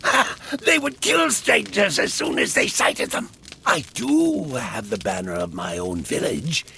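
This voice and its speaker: Wheedling old Norse man, high swooping voice, theatrical, game cutscene. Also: very animated, unhurried, gravelly, sharp tone, a little hiss or hum, exaggerated voice. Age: older. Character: crafty old Norse wanderer by his fire. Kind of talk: video game cutscene acting.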